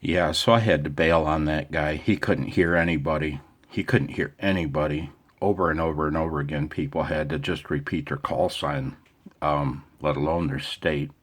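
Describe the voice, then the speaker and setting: A very deep-voiced American man narrates his hobby radio session as he goes. He speaks casually and off the cuff, with a wry, self-deprecating streak. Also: steady tone, moderate pace. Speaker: amateur radio operator and video host. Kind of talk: casual off-the-cuff vlog chat.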